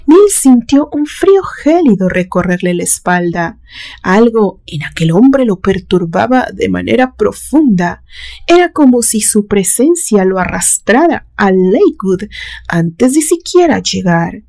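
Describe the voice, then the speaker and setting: A woman reading a literary suspense story aloud in a measured, dramatic storytelling manner. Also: medium pitch, sing-song, darkish tone. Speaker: narrator reading a horror story.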